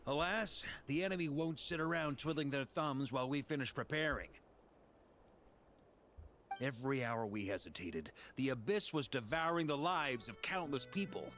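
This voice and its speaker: An actor playing a hearty, rugged knight commander in a video game, jovial and philosophical with a rousing, theatrical manner. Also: male voice, mid-range voice, animated, moderate pace, a bit bright, natural voice. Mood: reflective. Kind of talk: dramatic video game voice acting. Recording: music behind the voice.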